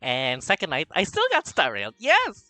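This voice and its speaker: A man streamer from the Philippines, gossiping and reading chat in a camp, animated way. His bright, lively voice leaps between low murmurs and loud squeals, while faint music plays in the background. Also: moderate pace, no room sound. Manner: chatty, camp, reading chat aloud, swings from mumbling to loud excitement. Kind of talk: casual livestream chat.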